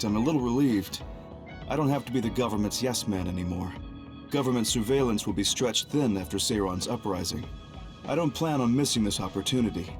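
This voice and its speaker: An actor playing a stern, calm military commander and scheming reformer in an English game dub, speaking formally and deliberately. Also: male voice, on the low side, close to an everyday voice. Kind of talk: English-dubbed video game cutscene acting. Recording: music behind the voice.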